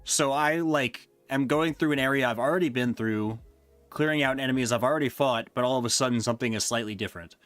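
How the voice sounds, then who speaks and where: A man on a live stream talks loosely, stumbling through fillers and half-finished thoughts at a middling pitch. His voice is wearing thin and hoarse, and soft music plays faintly behind him. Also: fast talker, dry recording. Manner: rambling, hesitant, many fillers. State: losing his voice. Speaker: streamer ranking games he reviewed. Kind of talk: casual live-stream chat.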